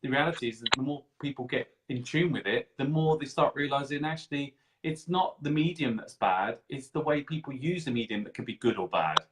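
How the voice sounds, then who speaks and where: A man's voice: medium pitch, fairly quick, few pauses, heavy background noise. Effusive, rambling male interview host. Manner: effusive, rambling, repeats phrases. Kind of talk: casual interview chat.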